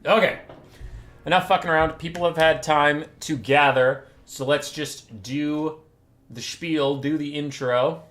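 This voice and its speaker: A man's voice: on the high side, fairly even intonation, a little slow, fairly bright tone. A man hosting a game tournament stream, chatting casually in a rambling, wry, self-mocking way and swearing offhand. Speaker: stream host and tournament caster. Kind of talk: casual livestream chat before casting. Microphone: desk mic, camera off.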